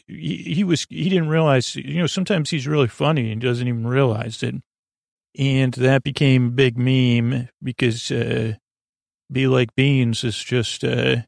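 A man hosting a bedtime podcast in a meandering, halting monologue, trailing off and restarting his thoughts, with a gravelly, creaky voice. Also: medium pitch, dry recording, studio quality. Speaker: podcast host. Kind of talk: rambling bedtime monologue to help listeners sleep.

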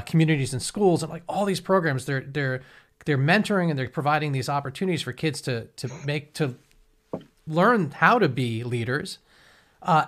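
A man's voice: a little high, fairly bright tone. A man in his forties interviewing guests in a casual, rambling way, often restarting his sentences. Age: 40s.

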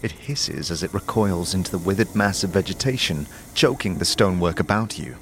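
Low-voiced man narrating dramatically. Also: a little hiss or hum. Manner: dramatic, measured storytelling. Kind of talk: scripted fantasy audio drama narration.

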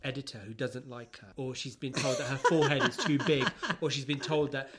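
A man's voice: medium pitch, a little fast, a crowd in the background. A man co-hosting a podcast in casual book-review chat, swearing freely with mock exasperation. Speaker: podcast co-host.

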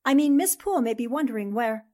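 An actress playing a brave, sharp young newspaper reporter in a 1940s-style radio mystery, speaking in an earnest, theatrical way. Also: female voice, on the high side, animated, quick pace. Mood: puzzled, uneasy.

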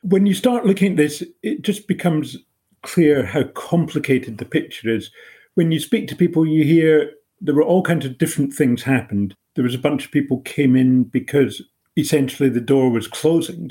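A man in his forties from Britain with a mellow, relaxed tone, talking through his answers in a measured, explanatory way. His pitch sits in an ordinary middle range, and he sounds like a journalist guest on an interview show. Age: 40s.

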